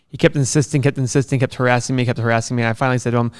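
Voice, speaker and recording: A man's voice: medium pitch, fairly even intonation, no room sound, clean studio sound. A man born in Iraq co-hosting a podcast, joking and teasing in casual banter and laughing often. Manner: joking, quick to laugh, playfully skeptical. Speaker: podcast co-host.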